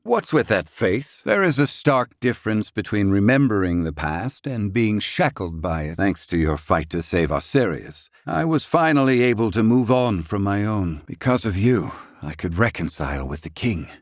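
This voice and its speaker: An actor playing a proud, gruff, excitable older scholar-inventor in a video game, speaking in formal, archaic, theatrical phrasing. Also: male voice, on the low side, normal speed, no room sound, studio quality. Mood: sincere, grateful. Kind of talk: video game character acting.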